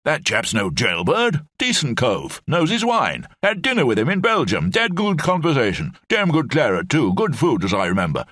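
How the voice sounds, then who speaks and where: A man's voice: mid-range voice, huge pitch swings, normal speed, hardly any pauses, a bit bright, no room sound, studio quality, voice pushed up. A man voicing an elderly, bumbling brigadier with clipped, rambling upper-class speech.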